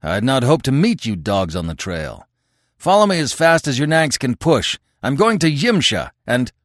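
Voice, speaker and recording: A man's voice: fairly low pitch, huge pitch swings, unhurried, fairly bright tone, no echo, studio quality, exaggerated voice. A man voicing a bold, blunt barbarian leader in an adventure storybook, bellowing with exasperation. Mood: commanding. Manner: roaring, bellowing, commanding.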